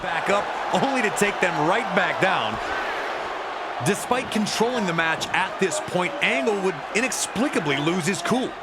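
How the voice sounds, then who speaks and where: High-pitched dramatic male wrestling narrator over crowd. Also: lively intonation, moderate pace. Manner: dramatic, emphatic. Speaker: sports narrator. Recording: loud crowd noise behind voice. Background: roaring arena crowd.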